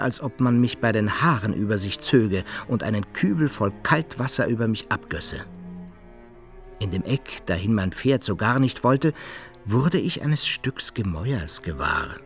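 Animated male radio-play narrator. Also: medium pitch, measured pace, faint music behind. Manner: expressive storytelling, archaic wording.

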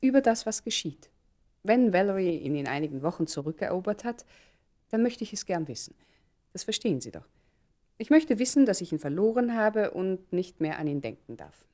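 An actress in a German radio play playing a poised, determined mother, speaking emphatically and deliberately. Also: mid-range voice, sing-song, warm tone, lightly acted. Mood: earnest, frank. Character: poised, determined mother resolving to test her lover.

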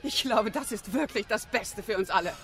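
A woman playing a cold, resolute wife leaving her husband in a radio drama, speaking in a cool, deliberate way. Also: medium pitch, lightly acted. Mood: cold, firm. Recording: noisy outdoor scene, heavy background noise.

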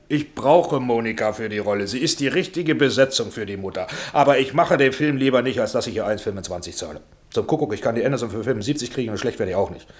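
Actor as fast-talking, bossy film producer. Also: male voice, mid-range voice, slight background noise, close to an everyday voice. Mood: blunt haggling. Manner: brisk, bossy, patronizing. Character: domineering, wheedling Hollywood film producer boss. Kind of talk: radio drama acting.

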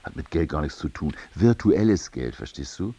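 A husky, lowish-voiced schemer, the pushy out-of-work pal in a radio drama. He talks his friend into things with eager, lively swoops, recorded dry and a little dull. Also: moderate pace, dry recording, full character voice. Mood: persuasive. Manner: eager, persuasive, colloquial. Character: Jens, scheming jobless fitter, pushy cheerful buddy. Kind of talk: naturalistic German radio play dialogue.

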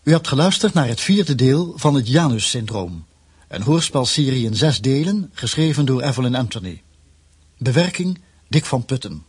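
Husky male radio drama announcer. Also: medium pitch. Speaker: announcer reading drama credits.

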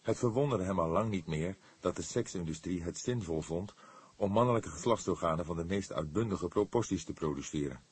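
A man's voice: low-pitched, fairly quick, breathy edge, dry recording. A man reading scripted literary narration as an audiobook narrator.

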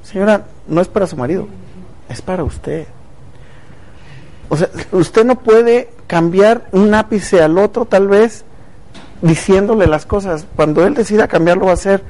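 A man's voice: high voice, unhurried, a little mellow, low-quality recording. A man hosting a casual talk show, chatty and speaking directly to viewers. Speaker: TV show host.

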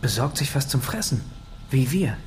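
A man's voice: medium pitch, sing-song, lightly acted. Radio drama role: non-human student played by a man, mid-range voice, hesitant, inquisitive, naive, slight background noise. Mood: wondering. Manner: halting, questioning. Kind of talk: German radio drama acting.

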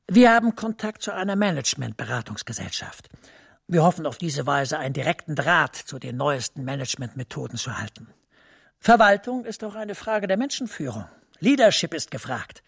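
Persuasive senior bureaucrat, male, husky and swinging in pitch, formal radio-play delivery. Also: a bit bright, no room sound, studio quality, heavily acted. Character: smooth, officious male EU administration superior.

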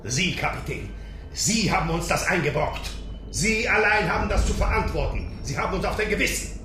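A man's voice: high voice, animated, normal speed. An actor playing a bitter crewman in a German radio drama, speaking with scornful, accusing emphasis. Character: bitter, accusing male crewman turning on his captain. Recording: echoey room, clear background noise.

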